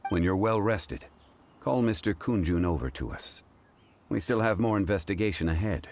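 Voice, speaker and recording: An actor playing a calm, formal man with an ancient, scholarly air who speaks in a measured, unhurried way. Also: low-pitched.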